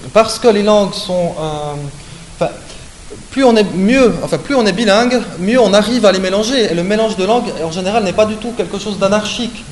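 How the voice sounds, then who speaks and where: A man's voice: high voice, a little mellow. A man from Switzerland giving an academic lecture, explaining points with frequent hein and euh and correcting himself as he goes. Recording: echoey room with faint hiss.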